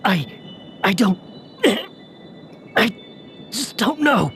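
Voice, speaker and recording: Troubled, halting young hero, animated pitch. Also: male voice, a little hiss or hum, full character voice. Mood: hesitant, uneasy. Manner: halting, hesitant, dramatic. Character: troubled young man with fragmented memories, earnest and doubting. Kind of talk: English video game voice acting.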